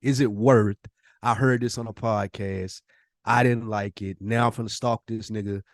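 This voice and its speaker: A man's voice: lowish voice, fairly even intonation, moderate pace, no echo, studio quality. A man hosting a podcast, talking casually and giving opinions in an emphatic, slangy way with rhetorical questions. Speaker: podcast host.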